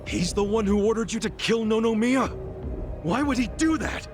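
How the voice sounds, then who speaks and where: A man's voice: high voice, expressive, normal speed, music in the background. An actor playing a blunt, hot-headed but loyal tough guy in an English video game dub, speaking emphatically. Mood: angry.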